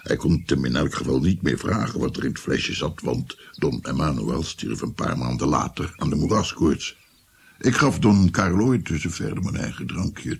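An actor playing a weary Renaissance court physician, telling his past in a measured, sombre, confiding way in a radio drama. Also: low-pitched, creaky, husky, heavily acted. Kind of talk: radio drama narration, reflective storytelling.

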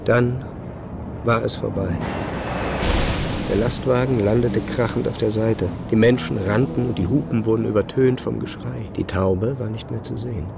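Troubled, creaky-voiced male narrator. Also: lowish voice, fairly even intonation, moderate pace, background noise. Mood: grave, shocked. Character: troubled man recounting his own dark memories. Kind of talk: literary first-person past-tense narration in audio drama.

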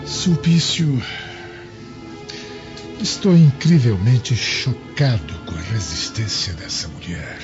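An actor playing a proud, lustful Roman governor in a radio drama, grandiose and frustrated. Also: male voice, medium pitch, very animated, slow pace, pauses often. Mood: shocked, frustrated. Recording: dull lo-fi audio under loud music.

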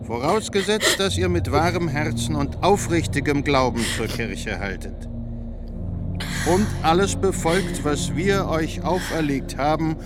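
An actor playing a stern church judge in a radio drama, solemnly pronouncing a formal sentence with ceremonial deliberation. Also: male voice, fairly high pitch, animated, unhurried, heavy background noise. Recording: voice under loud music. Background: loud music.

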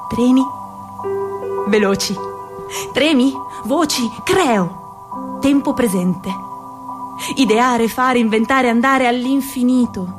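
Woman doing a restless young dreamer, kinda high voice, chanty poetic lines, music under it. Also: slow pace, long pauses. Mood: urgent. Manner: rhythmic, incantatory wordplay. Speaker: narrator and lead actor. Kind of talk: poetic theatrical monologue. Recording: music playing behind.

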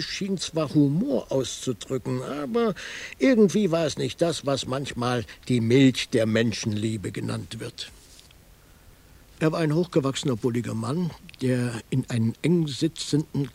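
An actor playing a dry, observant detective who narrates his case in a radio crime play with wry, measured storytelling. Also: mid-range voice, gravelly, a little mellow, breathy edge, exaggerated voice. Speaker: first-person narrator.

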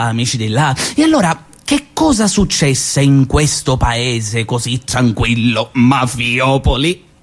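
An actor playing a sarcastic young radio host, telling a mock fairy tale in a theatrical, ironic way. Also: male voice. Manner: ironic, theatrical storytelling, swinging pitch. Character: sarcastic young male radio host mocking a mafia town. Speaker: actor in a one-man radio play. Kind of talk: stage monologue as pirate radio broadcast.

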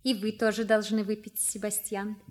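A woman's voice: medium pitch, level tone, moderate pace, darkish tone, close to an everyday voice. A woman acting a poised, cheerful young daughter, lightly teasing, in a theatrical radio play. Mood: gracious.

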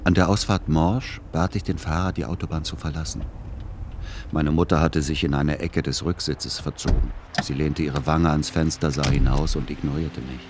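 An actor playing a weary, melancholic middle-aged son in a radio play, speaking in an understated, measured, reflective way. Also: male voice, very deep voice. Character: weary, melancholic adult son narrating his journey. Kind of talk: literary first-person narration and radio play dialogue.

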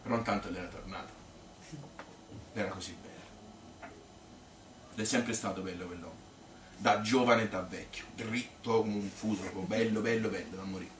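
A man's voice: lowish voice, fairly even intonation, moderate pace, pauses often, natural voice. A man playing an old Tuscan grandmother who reminisces in a rambling, colloquial monologue, chatty, feisty and devoted to her husband. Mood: feisty, amused, then tender, adoring. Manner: rambling, colloquial, repetitive, with little interjections. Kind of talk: spontaneous-sounding theatrical monologue. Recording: echoey, distant, noisy stage recording.

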